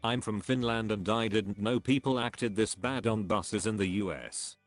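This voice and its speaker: Low-pitched synthetic male narrator. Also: normal speed, faint music behind. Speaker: narrator reading internet comments. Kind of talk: scripted read-aloud narration.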